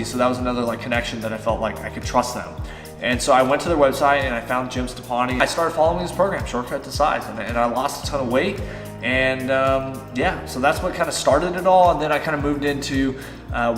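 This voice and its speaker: A man's voice: medium pitch, fairly bright tone, echoey room, long reverb tail. A man in his thirties, a fitness vlogger, answering viewer-style questions in a loose, chatty way with many fillers. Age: 30s. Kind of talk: casual Q and A vlog. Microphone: on-camera shotgun mic.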